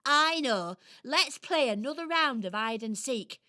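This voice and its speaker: A boastful, mischievous squirrel from a children's radio play. Her British voice is high and bright, leaping around theatrically as she shows off. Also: lively intonation, unhurried, exaggerated voice. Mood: playful, confident. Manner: theatrical, exclamatory, cocky.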